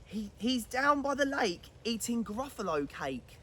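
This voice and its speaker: A woman's voice: on the high side, big pitch swings, unhurried, bright, forceful tone, breathy edge, full character voice. Woman putting on a sly storybook snake.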